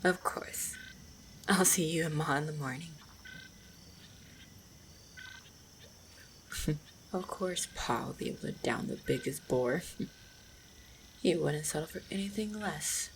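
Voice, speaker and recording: Casting: woman as a gentle orc huntress and devoted fiancée for fantasy roleplay audio, low-pitched with gravelly fry, soft and reassuring. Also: a little slow, slight background noise. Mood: fond, cheerful. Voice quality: husky, creaky low voice. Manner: tender, reassuring, softly spoken. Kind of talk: roleplay audio drama, intimate monologue to the listener.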